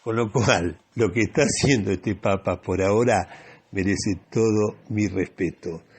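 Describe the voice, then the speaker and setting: Slow, husky Argentine man giving radio commentary. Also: lowish voice. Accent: Rioplatense Argentine. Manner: opinionated, deliberate, with emphatic repetition and rhetorical questions. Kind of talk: spoken editorial commentary.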